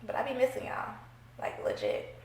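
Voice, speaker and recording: A woman's voice: normal speed, a little mellow, roomy sound, rough recording. A young woman with a stuffy, congested voice, chatting playfully to her viewers while giving a makeup tutorial at home. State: congested from a cold. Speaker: beauty vlogger. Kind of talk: casual makeup tutorial chat. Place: small room at home, indoors.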